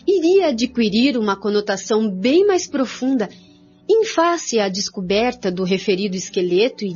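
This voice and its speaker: An actress playing an earnest, scholarly researcher in a radio drama, with a formal expository delivery and a Brazilian accent. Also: female voice, medium pitch, a little mellow, natural voice. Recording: dull lo-fi audio.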